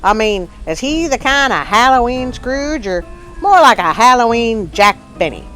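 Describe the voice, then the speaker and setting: A woman's voice: fairly high pitch, animated, moderate pace, a bit bright, faint music behind, rough recording. A woman voicing a wisecracking, skeptical puppet sidekick who interrupts with snarky questions in a children's story show. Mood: skeptical heckling.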